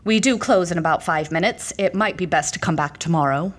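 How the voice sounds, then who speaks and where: A woman's voice: on the low side, fairly quick, slight background noise, natural voice. A woman playing a prim, unhelpful small-town librarian in an audio horror drama, speaking formally and with polite dismissiveness. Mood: coolly polite.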